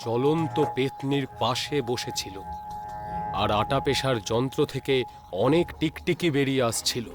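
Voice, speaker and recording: A man's voice: medium pitch, normal speed, music in the background. A man narrating a scripted ghost story as a storyteller.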